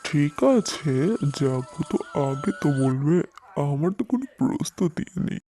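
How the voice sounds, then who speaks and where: A man's voice: a little high, animated, normal speed, mellow, natural voice. A man voicing an easygoing, food-loving village husband in a cartoon fairy tale.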